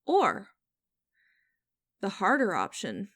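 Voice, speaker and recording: A woman playing a cold, condescending female boss in an ASMR audio roleplay, speaking to the listener in a deliberate, commanding, mocking way. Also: mid-range voice, wildly swooping pitch, fairly bright tone, no echo, clean studio sound, exaggerated voice. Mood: cold, controlled. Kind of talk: ASMR roleplay acting, direct address to listener.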